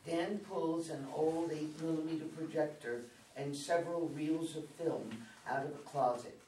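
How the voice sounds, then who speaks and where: A man's voice: a little high, steady tone, normal speed, mellow. Roomy, distant older male narrator. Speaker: narrator reading stage directions. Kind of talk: scripted narration. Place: meeting room with long table, indoors. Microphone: far camera. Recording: roomy, distant mic, background room noise.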